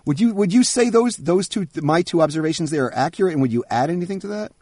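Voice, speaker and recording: Middle-aged male interviewer, husky, animated pitch, dry close sound. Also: fast, no room sound. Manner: thoughtful, restarts phrases. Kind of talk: conversational interview.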